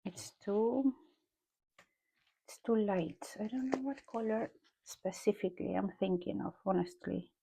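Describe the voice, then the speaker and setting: A woman from Peru with a strong Peruvian Spanish accent, talking casually through an art journal page as she paints, often pausing and correcting herself as she thinks aloud. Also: mid-range voice, gravelly, warm tone, husky, rough recording. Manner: musing aloud while painting, unhurried and self-correcting. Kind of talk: casual art tutorial narration.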